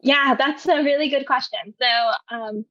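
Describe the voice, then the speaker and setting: A woman's voice: high-pitched, unhurried, bright, forceful tone, no room sound. A woman in her twenties from California, telling her life story in an oral history interview, talking hesitantly with many fillers and restarts. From California, USA. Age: 20s. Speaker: veteran interviewee. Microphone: video call. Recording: muffled video call audio.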